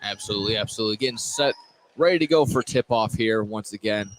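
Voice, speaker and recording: A man's voice: lowish voice, fast talker. A man calling live basketball play-by-play for radio, often repeating his phrases as he goes. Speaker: play-by-play sports announcer. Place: indoor high school gym. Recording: noisy gym, ball bounces behind. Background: gym noise and bouncing basketballs.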